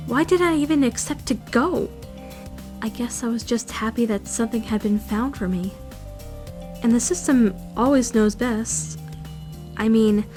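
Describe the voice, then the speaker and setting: A woman playing an anxious, brooding fourteen-year-old schoolgirl who narrates her self-doubting thoughts in a visual novel fandub. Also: on the high side. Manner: introspective, questioning, self-critical. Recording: music behind the voice.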